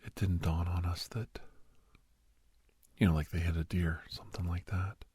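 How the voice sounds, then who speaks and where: A man playing a grave doctor in an ASMR roleplay, telling a haunting memory in a hushed, halting, breathy voice that often drops to a whisper. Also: sits low, little pitch movement, no echo. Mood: grave, intent. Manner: halting, hushed, dramatic delivery. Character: grave male doctor recounting a frightening memory to youngster.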